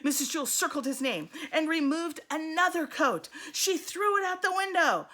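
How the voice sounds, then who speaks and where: High, animated woman reading a children's story. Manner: deliberate, dramatic emphasis for children. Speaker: first-grade teacher reading aloud. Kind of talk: expressive children's storybook narration.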